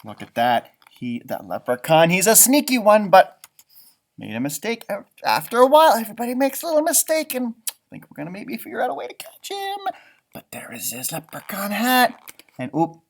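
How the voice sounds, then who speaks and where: Male children's storybook reader: highly animated intonation, chatty asides, musing hums, simple explanations. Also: a little fast, creaky. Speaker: storybook reader and host, schoolteacher.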